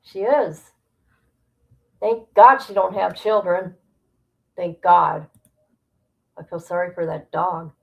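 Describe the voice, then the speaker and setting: Woman livestream host, unhurried and creaky-voiced, blunt and gossipy casual commentary. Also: on the low side, fairly even intonation, highs cut off. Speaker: livestream host reacting to a document. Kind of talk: casual livestream commentary, reading aloud and reacting.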